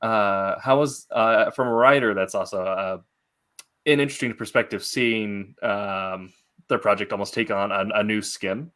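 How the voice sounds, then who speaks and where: Halting, lowish-voiced man hosting an interview. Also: fairly even intonation, bright, forceful tone, smooth. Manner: halting, long-winded, roundabout questions. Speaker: interview host. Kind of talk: casual interview questions and commentary.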